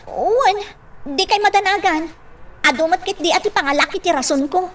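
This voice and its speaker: Very high, anxious daughter. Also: female voice, a little fast. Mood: worried, pleading. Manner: anxious, pleading. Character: worried grown daughter cautioning her mother. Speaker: voice actress in a radio drama. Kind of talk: Ilocano radio drama acting. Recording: distant microphone with faint background noise.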